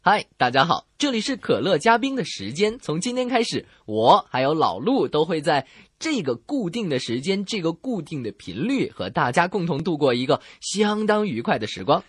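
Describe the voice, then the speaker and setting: A man co-hosting a comedy radio show in scripted banter with an excitable, gushing delivery. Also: a little high, moderate pace, breathy edge, dry recording, studio quality.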